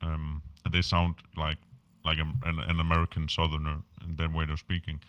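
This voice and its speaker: A man's voice: very deep voice, completely flat delivery, a bit bright, dry recording, highs cut off. A Danish man speaking English as a podcast guest, talking casually with lots of fillers and restarts. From Denmark. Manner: halting, many fillers and restarts. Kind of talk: casual interview chat.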